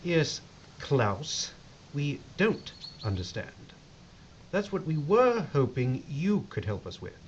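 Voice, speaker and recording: An actor playing a wry, courteous American army captain with an American accent in an audio drama. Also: male voice, fairly high pitch, sing-song, takes it slowly. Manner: wry, polite. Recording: dull lo-fi recording with faint noise.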